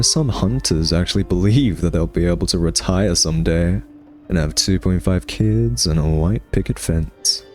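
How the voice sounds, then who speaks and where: A smug vampire acted by a man speaks in a low male voice with a sardonic, teasing drawl. He toys with his captive nemesis in a conversational ASMR roleplay, with faint music behind him. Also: a little mellow, lightly acted.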